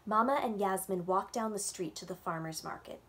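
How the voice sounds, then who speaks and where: A young woman, a children's librarian, reading a picture book aloud at story time with animated, expressive delivery, recorded on a webcam at home. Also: medium pitch, fairly quick. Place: home room with bookshelves. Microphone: webcam on a video call.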